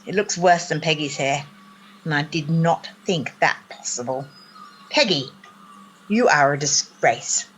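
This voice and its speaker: A woman's voice: deep voice, unhurried, fairly bright tone, husky, heavily acted, low-quality recording. A woman playing a stern, haughty, old-fashioned girls' school mistress in a radio play, scolding in a clipped, imperious manner. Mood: disapproving. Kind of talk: theatrical radio play acting.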